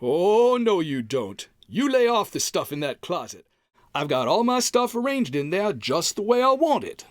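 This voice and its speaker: Male actor as stubborn pack-rat husband, folksy, very animated intonation, vintage radio comedy, dry close home recording. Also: high-pitched, normal speed, dry recording. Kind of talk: old-time radio comedy acting.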